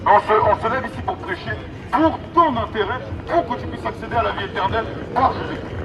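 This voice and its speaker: A high-voiced man delivers an emphatic street sermon through a megaphone. He exhorts in repeated phrases, and the sound is dull, with loud traffic and city noise around him. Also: distant mic. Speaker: street evangelist. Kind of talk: open-air megaphone sermon. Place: outdoors in an urban public street. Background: traffic and city ambience.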